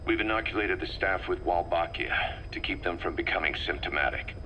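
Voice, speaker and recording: A man's voice: deep voice, brisk, natural voice. A man playing a tough, pragmatic military commander in a video game, speaking in a terse, firm, businesslike way. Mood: concerned, terse. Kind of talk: dramatic video game voice acting. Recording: faintly noisy field tape.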